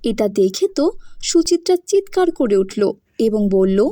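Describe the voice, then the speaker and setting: A woman's voice: mid-range voice, very animated, measured pace, warm tone, no echo, studio quality. A woman narrating a scripted cartoon story as a storyteller.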